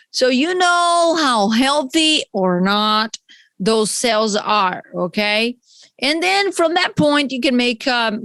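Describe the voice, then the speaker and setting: A woman's voice: sits high, a bit bright, no echo. A woman with a Brazilian accent hosting a solo health podcast, chatty and explaining terms with friendly asides. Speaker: podcast host.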